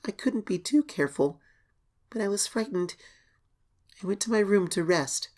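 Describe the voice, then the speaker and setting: A woman voicing an anxious young pony filly, reading her diary as an expressive, hesitant horror story narration. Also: mid-range voice, normal speed, lightly acted. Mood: worried. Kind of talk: dramatic first-person horror story reading.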